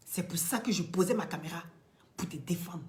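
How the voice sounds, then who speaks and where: A woman from Ivory Coast with an Ivorian French accent, giving impassioned commentary straight to the camera, preachy and emphatic, swinging from loud peaks to quiet, near-whispered asides. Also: fairly low pitch, brisk. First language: Dioula or another Ivorian language. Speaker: social media commentator.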